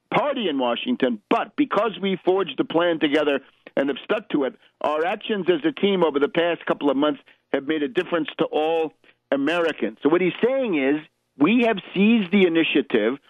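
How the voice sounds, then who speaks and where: A man hosting a political radio show, giving opinionated commentary in a halting, emphatic manner with sardonic asides. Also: a little high, lively intonation, dry recording. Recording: dull, lo-fi radio recording.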